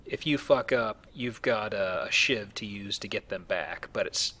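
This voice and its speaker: A man's voice: fairly low pitch, measured pace, bright, forceful tone. A man hosting a let's play with casual, explanatory game commentary and joking asides.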